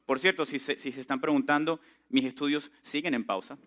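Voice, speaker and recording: Man in his thirties, fairly high voice, crisp bright tone, chatty storyteller on stage. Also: steady tone, brisk. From Venezuela. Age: 30s. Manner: chatty storytelling, asks the audience questions. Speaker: entrepreneur speaker. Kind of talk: conference talk to a live audience.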